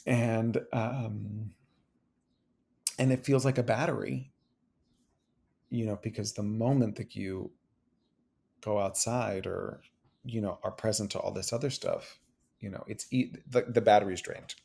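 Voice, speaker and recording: A man who is a guest on an interview show, talking casually, animated, restarting and repeating phrases. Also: lowish voice, measured pace, gravelly, pauses often, breathy edge. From Cuban-American. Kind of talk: casual conversation. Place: New York City.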